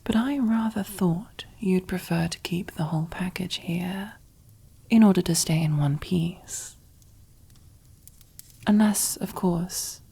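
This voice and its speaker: A commanding female crime boss, played by a woman, murmurs in a soft, mellow, very husky whisper for an ASMR roleplay. She takes her time over every phrase, pitched a little low. Her manner is possessive and condescending, with silky menace under teasing endearments. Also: heavily acted. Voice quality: breathy, husky near-whisper. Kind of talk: ASMR roleplay, close whispered character acting.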